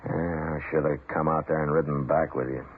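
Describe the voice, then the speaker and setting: An actor playing a steady frontier marshal with dry humor in an old-time radio western, also narrating. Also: male voice, very deep voice, brisk. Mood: concerned, resolute. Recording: noisy, narrow-band old radio recording.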